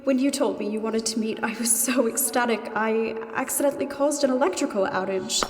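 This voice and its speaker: A woman's voice: on the high side, a little fast. Echoing, chatty ghost woman. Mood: amused, excited. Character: giddy, chatty two-hundred-year-old female ghost in love. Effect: ghostly reverb. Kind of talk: mock dating testimonial in comedy audio drama. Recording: long echoing reverb on the voice.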